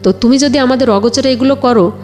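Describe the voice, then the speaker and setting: A Bangladeshi woman telling her own life story conversationally, a little haltingly, quoting remembered exchanges. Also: high voice, darkish tone, faint music behind. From Bagerhat area, Bangladesh.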